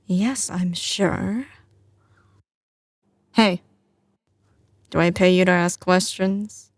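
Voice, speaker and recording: A slow-spoken mafia boss girlfriend, acted by a woman, with a light Southern US drawl. She is teasing and doting yet ruthless, sliding between command and tenderness in a close, dry ASMR audio roleplay. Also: on the low side, fairly even intonation, no echo, heavily acted. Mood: cold, commanding. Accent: slight Southern US drawl. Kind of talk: ASMR audio roleplay, intimate scripted acting.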